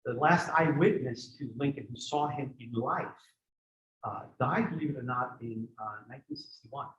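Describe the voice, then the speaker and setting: A man's voice: mid-range voice, normal speed, fairly bright tone. A man born in Greece giving a history lecture and answering audience questions in a thoughtful, digressive way with many restarts. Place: community center lecture room. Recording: echoey room, dull lo-fi sound.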